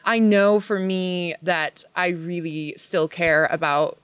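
A woman's voice: lowish voice, fairly even intonation, takes it slowly, smooth, no echo. A woman in her thirties talking casually and reflectively, earnest and introspective as a panelist. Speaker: actor, podcast panelist.

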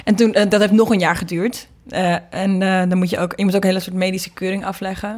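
A woman's voice: medium pitch, flat delivery, fast talker, a little mellow. A woman from the Netherlands, being interviewed and explaining things informally, thinking aloud as she goes. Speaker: interviewed singer and producer. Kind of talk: casual interview conversation.

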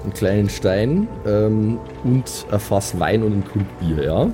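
A man's voice: fairly low pitch, animated, a little slow. A man, improvising in character in a tabletop game in a dry, grumbling manner. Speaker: player in a tabletop role-playing game. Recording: prominent music behind the voice.

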